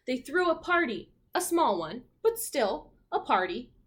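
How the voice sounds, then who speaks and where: High-voiced woman reading a storybook aloud. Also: breathy edge. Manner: expressive, pausing to comment on pictures and prompt children. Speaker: teacher reading a picture book to children. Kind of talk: storybook read-aloud with asides and questions to young listeners.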